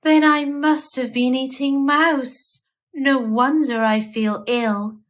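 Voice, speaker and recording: A woman's voice: high voice, steady tone, takes it slowly, voice pushed up. A woman voicing an anxious, melodramatic lady animal in a storybook, moaning and plaintive as she talks. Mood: mournful, puzzled.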